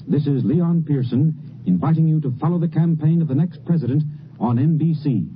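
Fast-talking newsman, dull old radio. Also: on the high side. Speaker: news correspondent. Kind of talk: scripted 1950s radio promo. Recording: dull, faintly noisy old radio recording.

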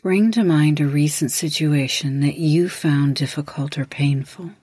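Low-voiced woman guiding a meditation. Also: mellow, husky, dry recording, clean studio sound. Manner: measured, instructional, with long pauses. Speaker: host, guided meditation leader. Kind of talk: guided meditation narration.

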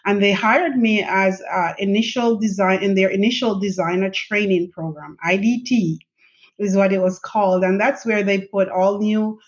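A woman's voice: medium pitch, moderate pace. Chatty woman telling her story. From Jamaica. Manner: animated, chatty, laughs often, many fillers. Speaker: guest, chief information officer. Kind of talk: conversational interview storytelling. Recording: slightly dull lo-fi audio.

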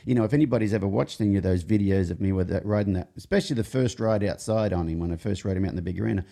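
A man's voice: lowish voice, racing, gravelly, mellow. A man hosting a casual talk, telling rambling horse-training anecdotes and often restarting his sentences. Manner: rambling, self-interrupting, jokey anecdotes. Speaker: horse trainer and show host. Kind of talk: casual conversational storytelling.

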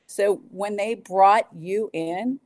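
A woman's voice: medium pitch, slow pace, very noisy. A woman talking casually and enthusiastically as a podcast guest, a sports public address announcer. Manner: enthusiastic, informal.

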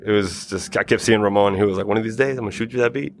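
Fast-talking man from Chile. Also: lowish voice, slight background noise. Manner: fast, laughing, mixes in Spanish phrases. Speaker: guest, rapper. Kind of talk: casual interview chat.

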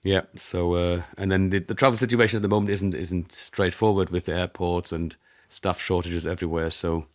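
A man's voice: sits low, steady tone, moderate pace, dry recording, clean studio sound. A man whose first language is German, in his forties, talking as an interview guest about his work as a conference interpreter, with many ums and restarts. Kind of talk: conversational interview.

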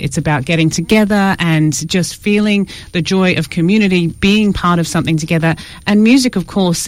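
A woman radio host chatting casually and live in a studio, with a self-deprecating, informal manner. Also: lowish voice. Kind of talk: casual live interview chat. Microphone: studio microphone.

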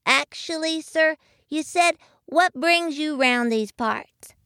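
A woman's voice: high voice, slow, pushed and bright, dry recording, studio quality, voice pushed up, exaggerated voice. A woman voicing a small, eager young muskrat boy in a storybook, with an earnest folksy way of talking. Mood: plucky.

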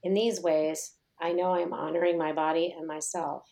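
Middle-aged woman, yoga author, reading her essay in a measured, low, level tone. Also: little pitch movement, vocal fry, warm tone. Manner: slow, measured, reflective reading.

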